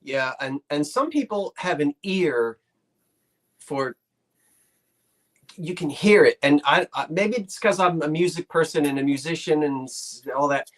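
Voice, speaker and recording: A man's voice: fairly high pitch, a little slow, a bit bright. A man from South Jersey with a South Jersey accent, hosting a live sale stream in casual, rambling chat. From South Jersey, Delaware Valley. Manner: rambling, restarts sentences often.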